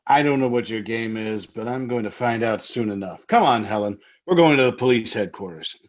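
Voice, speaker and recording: An actor playing a skeptical, protective, indignant husband in a radio mystery, with a blustery, emphatic delivery. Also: male voice, lowish voice, a little fast, dull audio, close to an everyday voice. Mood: defiant. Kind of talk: old-time radio mystery drama acting.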